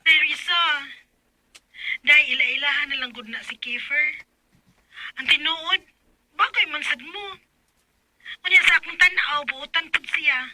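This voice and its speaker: A woman's voice: sits high, measured pace, pauses often, noisy background. A woman playing an apologetic, self-sacrificing young student in a radio drama, pleading and emphatic, with a Cebuano accent.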